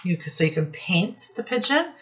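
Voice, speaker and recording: A woman's voice: lowish voice, sing-song, moderate pace, a bit bright, lo-fi sound. An American woman hosting a storytime lesson for young children, asking questions and addressing them directly as their teacher. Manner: instructive, asks questions, addresses listeners directly. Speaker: children's teacher and read-aloud host. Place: home office classroom.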